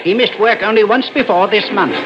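An actor playing a nosy, casual factory timekeeper in an old-time radio suspense drama, chatty and matter-of-fact. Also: male voice, sits high, fairly quick, natural voice. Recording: muffled, very noisy vintage radio broadcast.

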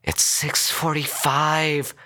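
A man's voice: fairly high pitch, flat delivery, takes it slowly, pushed and bright, pitched above the performer's own voice. A man voicing an anxious, frightened woman passenger in an audiobook story, pleading with strained politeness. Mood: groaning.

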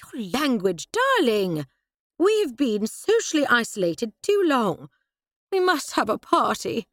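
An imperious upper-class English mother, as a woman puts her on, commanding and dismissive. She is pitched well above the narrator's voice and comes out louder and crisp, with the intonation swinging widely. The sound is dry and close-miked. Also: big pitch swings, takes it slowly, dry recording, exaggerated voice.

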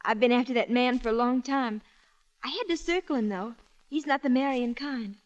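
An actress in 1940s radio style playing a brisk, wisecracking young woman of the stage on a dull vintage broadcast. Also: a little high, close to an everyday voice. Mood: brisk, joking.

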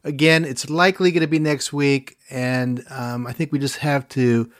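A man's voice: medium pitch, fairly even intonation, moderate pace, dry recording, clean studio sound. A man hosting an interview show, talking in a chatty, enthusiastic way with plenty of fillers as he promotes upcoming episodes. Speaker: podcast host.

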